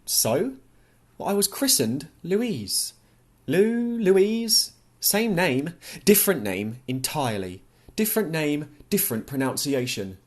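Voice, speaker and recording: A man's voice: a little high, sing-song, slow pace, pitched above the performer's own voice, exaggerated voice. A man reading an audiobook, voicing a grieving, curt and defensive young woman with a terse, insistent manner. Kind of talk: audiobook character dialogue.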